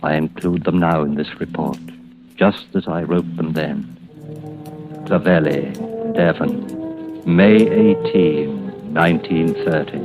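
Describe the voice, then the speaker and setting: An actor playing an uneasy, earnest police detective in an old suspense radio drama, speaking in a deliberate, formal and dramatic way. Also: male voice, deep voice. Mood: brooding dread. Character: haunted middle-aged British police detective, earnest and uneasy. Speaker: radio drama lead and narrator. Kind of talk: golden-age suspense radio acting, first-person narration. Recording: muffled old radio recording under loud music.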